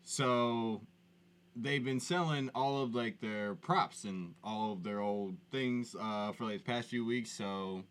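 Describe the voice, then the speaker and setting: A man's voice: on the low side, takes it slowly, fairly bright tone. A man co-hosting a podcast with casual, slangy, conversational talk. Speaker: podcast co-host. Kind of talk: casual chat.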